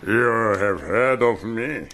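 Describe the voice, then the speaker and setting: A sneering colonel. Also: male voice, fairly low pitch, expressive, slow pace, a bit bright. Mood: contemptuous. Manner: bullying, contemptuous, mocking. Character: brutish, sneering German army colonel, middle-aged. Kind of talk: radio drama acting.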